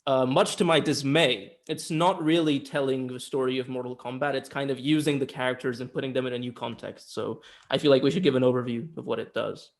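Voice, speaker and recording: Man with mid-pitched voice, casually explaining. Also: steady tone, brisk, few pauses. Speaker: podcast co-host. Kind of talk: casual film review chat.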